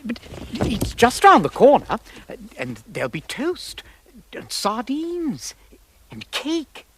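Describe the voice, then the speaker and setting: A man's voice: very high-pitched, wildly swooping pitch, slow, a little hiss or hum, heavily acted. A British man playing a timid, polite faun in a radio drama, hesitant and courteous, easily flustered and eager to please. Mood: eager, hospitable. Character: timid, polite, excitable male faun, fussy and hospitable.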